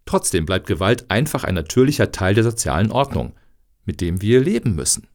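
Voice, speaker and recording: Actor as a glib, lively-voiced male lobbyist. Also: lowish voice, a little fast. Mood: smooth, official. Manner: glib, verbose, euphemistic official-speak. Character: smug male lobbyist for tolerating violent crime. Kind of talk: satirical radio play dialogue.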